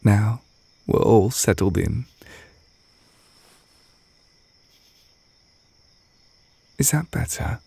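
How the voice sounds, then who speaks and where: A man acting a gentle, adoring witch boyfriend in an intimate ASMR roleplay, soft-spoken and tender with a light teasing manner. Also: lowish voice, animated, a little slow, gravelly, breathy edge, no room sound, exaggerated voice. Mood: tender, cozy.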